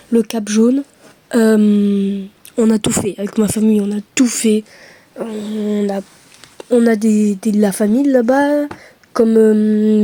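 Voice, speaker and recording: A woman's voice: mid-range voice, mellow, no echo. A young woman from France chatting casually to her camera, hesitant with many fillers and self-mocking asides. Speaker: vlogger.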